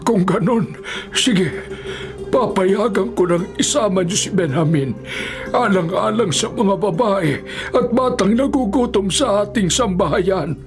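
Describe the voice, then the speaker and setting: A man playing an elderly Filipino father, a weary, solemn and deliberate patriarch, in a radio drama. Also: sits high, expressive, soft background music. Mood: grave, resigned.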